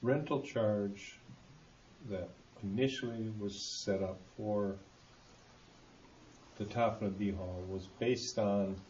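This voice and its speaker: Man, low voice, halting and deliberate, board member deliberating, muffled echoey recording, faint noise. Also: fairly even intonation, a little mellow. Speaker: township board member at a meeting. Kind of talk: deliberating at a public meeting. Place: indoor meeting hall. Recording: muffled, echoey meeting room, background hiss.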